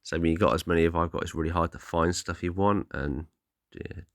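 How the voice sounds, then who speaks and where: A man with a slightly hoarse, croaky voice, giving a casual, meandering, apologetic voice-over commentary as a vlogger. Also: deep voice, fairly even intonation, a little fast, no echo, studio quality. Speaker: vlogger, film collector.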